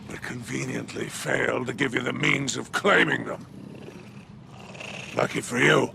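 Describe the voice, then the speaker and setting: An actor playing a sly, gloating alien crime boss in a video game, gravelly, mocking and theatrical. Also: male voice, mid-range voice, background noise. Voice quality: gravelly, creaky. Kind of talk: video game character acting.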